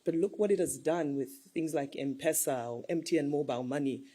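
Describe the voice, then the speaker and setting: A woman's voice: low voice, fairly quick, dark tone, breathy edge. A woman speaking as a panelist in a discussion, earnest and persuasive, building her case with examples.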